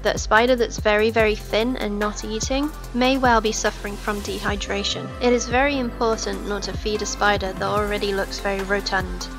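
A hoarse young woman, hosting her own channel and calmly explaining how to care for a pet. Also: medium pitch. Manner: steady explanatory delivery. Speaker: pet-keeping channel host. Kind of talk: informative pet-care narration. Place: home room with reptile tanks.

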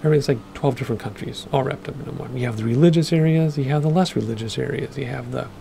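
A man, rambling casually through gameplay commentary with lots of fillers, his voice a bit hoarse from losing it. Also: mid-range voice, fast talker, background noise. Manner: rambling, chatty, with many fillers. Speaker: flight sim gaming host.

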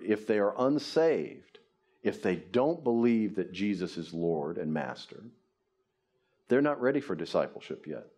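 A man's voice: medium pitch, a little mellow. A man in his fifties, a pastor preaching earnestly from a lectern on a church stage. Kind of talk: sermon. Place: church stage before a congregation.

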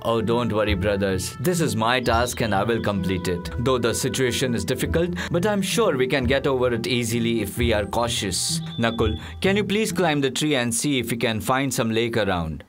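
A man voicing a wise, dutiful elder brother prince in a cartoon, measured and formal. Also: medium pitch, animated, over music, lightly acted. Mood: calm.

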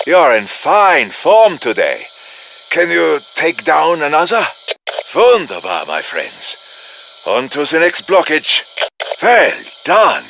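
An earnest German-accented professor in a video game, addressing his fighters with courtly drama. His voice is bright and forceful and swings widely in pitch. He takes his time over each phrase. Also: a little hiss or hum, full character voice, poor audio. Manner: dramatic, urgent, courtly encouragement. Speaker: game character voice. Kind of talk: scripted video game voice lines.

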